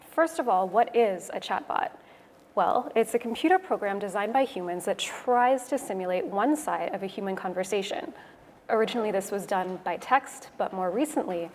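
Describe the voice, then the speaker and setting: A woman from Toronto with a Canadian English accent gives a prepared conference talk in a clear, measured, explanatory way. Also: mid-range voice. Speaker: conference speaker, web developer. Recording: echoey hall, noisy room ambience.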